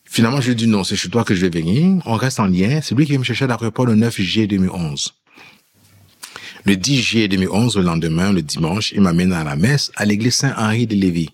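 A man's voice: on the low side, quick pace, slightly rough. A man telling a personal story in an interview, acting out bits of dialogue as he recounts it. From immigrant who lived in France before Quebec. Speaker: guest telling his faith story.

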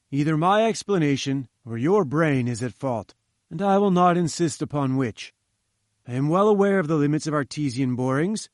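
A man's voice: a little high, no room sound, studio quality, pitched above the performer's own voice, close to an everyday voice. A man voicing an irascible older male scientist who talks in a curt, domineering, lecturing way.

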